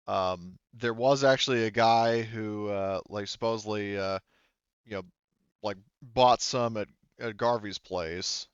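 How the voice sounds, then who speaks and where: Male game master, low smooth voice, halting improvised narration, dry but slightly dull recording. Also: no room sound. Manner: halting, thinking aloud. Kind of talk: unscripted narration of a tabletop roleplaying game.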